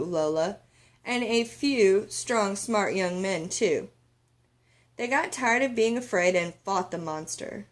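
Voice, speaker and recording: A weary but kindly older man from a storybook, voiced by a woman. He tells his tale gravely, taking his time over the words. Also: mid-range voice. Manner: grave, measured. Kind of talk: children's storybook read-aloud.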